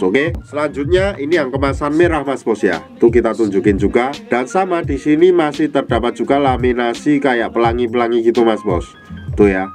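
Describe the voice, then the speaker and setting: A man's voice: medium pitch, darkish tone. A man with a nasal, stuffy voice from a cold, chattily explaining products to viewers as a vlog host. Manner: chatty, repeatedly addressing the viewer. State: congested from a cold. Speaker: online product seller and video host. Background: music behind the voice.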